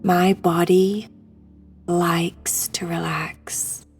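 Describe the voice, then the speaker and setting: A woman guiding a sleep meditation in a hushed, soothing, breathy voice that often nears a whisper. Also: lowish voice, level tone, extremely slow, soft background music. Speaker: meditation guide.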